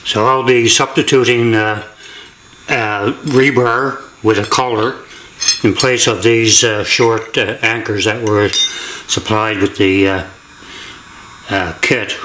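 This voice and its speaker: Older man, low bright voice, echoey garage. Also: fairly even intonation, slight background noise. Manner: halting, deliberate, matter-of-fact. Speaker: DIY demonstrator. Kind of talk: hands-on how-to narration. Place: indoor garage workshop with hard floor.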